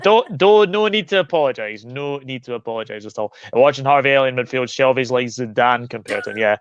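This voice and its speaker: A man hosting a casual football chat, relaxed and reading out viewer comments. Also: mid-range voice, fairly quick, smooth, dry recording. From Scotland. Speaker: host. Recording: dry, dull lo-fi mic.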